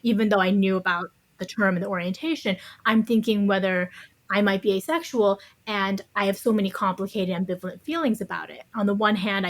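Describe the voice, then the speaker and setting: A woman speaking as a podcast guest, explaining her views conversationally in a thoughtful, carefully qualified way. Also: mid-range voice. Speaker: interview guest, author.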